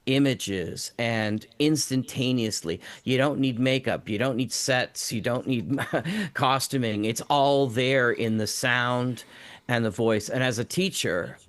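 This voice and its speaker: A man's voice: medium pitch, slow pace, a bit bright. A man who teaches drama, speaking reflectively and informally in a panel discussion.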